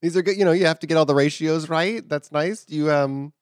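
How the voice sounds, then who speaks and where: A man co-hosting an improv comedy podcast, giggly and quick to laugh. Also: a little high, clear, resonant tone, no room sound, clean studio sound.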